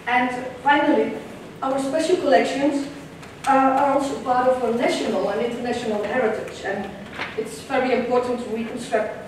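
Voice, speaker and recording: A Dutch-accented woman presents at a conference, speaking with a somewhat high voice and a measured delivery full of ums and restarts. She is picked up from the back of a big lecture hall, so her voice carries a heavy room echo. A faint hiss of room noise sits underneath. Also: fairly even intonation. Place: large lecture hall with audience. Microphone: room camera far from the stage. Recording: echoey lecture hall from far off.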